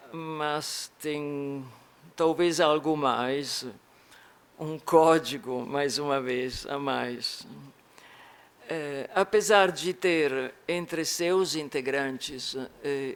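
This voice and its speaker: Slow, halting man with Italian-Carioca accent. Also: medium pitch. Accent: Italian-tinged Rio Portuguese. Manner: halting, with frequent restarts and fillers. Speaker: academic lecturer. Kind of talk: academic lecture. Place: lecture hall.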